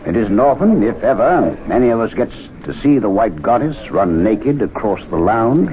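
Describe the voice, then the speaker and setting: A dry, wry club gentleman. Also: fairly low pitch, animated, normal speed. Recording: tinny 1970s radio broadcast with faint noise.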